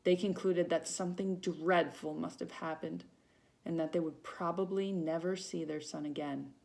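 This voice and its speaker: A woman volunteer reads a children's picture book aloud in an expressive, storytelling manner. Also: lowish voice, normal speed, creaky. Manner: dramatic emphasis on feelings, with short asides to young listeners.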